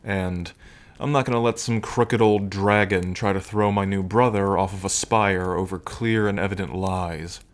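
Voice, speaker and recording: A man playing a smitten, teasing dragon king in an intimate ASMR roleplay, with unhurried, murmuring pauses. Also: deep voice, steady tone, natural voice. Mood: grave, measured. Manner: unhurried, murmuring pauses, hums and soft chuckles.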